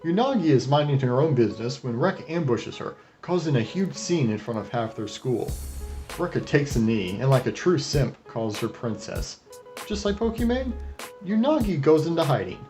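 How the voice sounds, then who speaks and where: A man's voice: mid-range voice, sing-song, moderate pace, a little mellow, faint music behind. A man hosting a video review, narrating with wry, sardonic commentary and crude joking asides. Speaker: host of an anime retrospective review.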